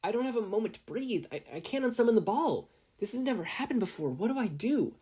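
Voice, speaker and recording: A man's voice: sits high, big pitch swings, fast talker, mellow, breathy edge, voice pushed up, heavily acted. A man voicing an anxious, earnest fourteen-year-old boy who stammers and gets flustered in an audiobook story. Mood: frantic. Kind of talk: audiobook character voice.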